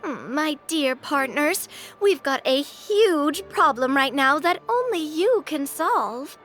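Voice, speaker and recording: Game role: excitable young gamer girl, high and very lively, playful, music underneath. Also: exaggerated voice. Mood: eager, playful. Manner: excitable, playful, drawn-out emphatic delivery. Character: bubbly, eager young woman, a keen roleplay gamer. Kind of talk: video game voice acting. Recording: music bed behind the voice.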